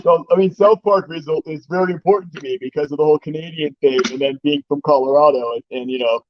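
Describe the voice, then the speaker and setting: A man's voice: fairly high pitch, quick pace, muffled recording, slight background noise. A man hosting a casual chat, jokey and rambling, often laughing. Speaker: streamer, host of a chat.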